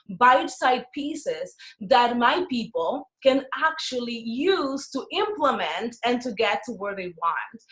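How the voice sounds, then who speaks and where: A woman with a Dominican Spanish accent speaks in a fairly high voice. She sounds like an excited coach, casual and emphatic as she motivates her listeners. The recording is noticeably echoey, with plenty of room sound around her words. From Dominican Republic. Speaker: business coach and course creator. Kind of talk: casual motivational talk to camera.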